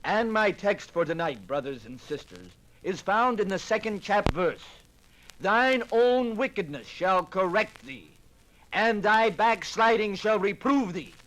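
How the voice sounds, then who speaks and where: An actor playing a stern, fiery frontier revival evangelist, declaiming a sermon slowly in a 1950s radio drama. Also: male voice, sits high, lively intonation, a bit bright, rough recording. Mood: stern, fervent. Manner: slow, drawn-out declaiming.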